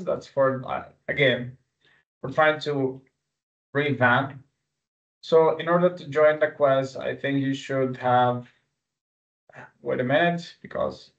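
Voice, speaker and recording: A man whose native language is Arabic, teaching an online exam-review webinar with live quiz questions in an informal, halting way. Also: on the high side. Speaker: exam-prep instructor. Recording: dull, lo-fi webinar audio.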